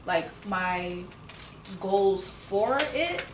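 A young woman tells stories on a podcast, lively and quick to laugh, cursing casually. Her voice sits back from the microphone in a room with a noticeable echo. A faint hiss runs underneath. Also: mid-range voice. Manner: animated storyteller, laughs often, swears casually. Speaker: podcast guest. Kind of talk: casual chat. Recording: roomy, distant mic, faint hiss.

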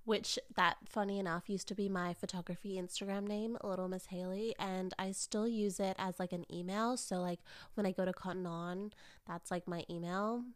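A woman's voice: mid-range voice, fairly even intonation, moderate pace. A woman in her early twenties talks in a mid-range voice, as if chatting to friends on her own podcast. She is informal and effusive, with plenty of casual filler phrases. A lingering cold leaves her sounding a little nasal and raw in the throat. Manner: informal and effusive, peppered with "like" and "you know". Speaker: podcast host.

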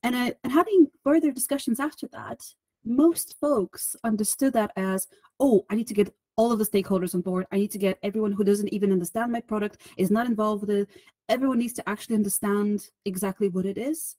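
A woman's voice: medium pitch, fairly quick, dark tone, no echo. A woman from Bulgaria, a guest on a podcast, talking in a chatty, self-deprecating way with many restarts and fillers. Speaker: podcast guest, solutions engineer. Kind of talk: conversational interview.

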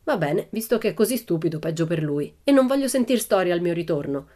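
A woman's voice: fairly low pitch, animated, quick pace, noisy background, natural voice. A woman voicing a bold, cheerful little red-haired girl who tells breezy tall tales in a storybook reading. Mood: grumpy.

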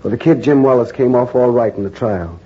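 An actor playing a reporter who narrates his own ordeal in measured, grave first person, in a 1940s radio drama. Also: mid-range voice, husky, natural voice. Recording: dull 1940s radio broadcast recording with music.

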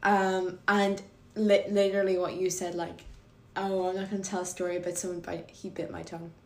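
A young adult woman co-hosting a podcast, telling stories in casual chat with many fillers and lively quoted asides. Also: fairly low pitch, a little fast. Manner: animated storyteller, many fillers. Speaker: podcast co-host. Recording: roomy echo.

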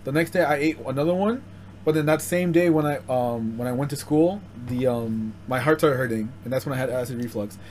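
A man's voice: medium pitch, brisk, darkish tone, a little hiss or hum. A young man co-hosting a casual podcast chat, rambling and stumbling over his words as he tells a story. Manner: rambling, stumbling, restarts sentences. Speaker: podcast co-host.

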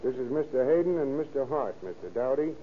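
A streetwise American schemer, acted by a man in a vintage radio crime play. His voice is mid-pitched and emphatic, full of slangy push, on a narrow, hissy old broadcast recording. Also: expressive, brisk, lightly acted. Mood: genial. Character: slangy, scheming tough guy, smooth and persistent. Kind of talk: 1940s radio acting.

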